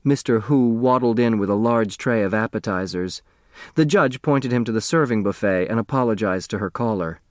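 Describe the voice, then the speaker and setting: A man narrating a story as an audiobook reader, expressive and scripted. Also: on the low side, moderate pace, dry recording, studio quality.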